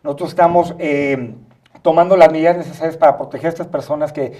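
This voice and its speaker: A Mexican man co-hosting a studio discussion, speaking haltingly and often checking for agreement at the ends of phrases. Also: on the high side, fairly even intonation, mellow. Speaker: talk show co-host and free-speech advocate. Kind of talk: studio discussion, spontaneous commentary. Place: internet TV studio.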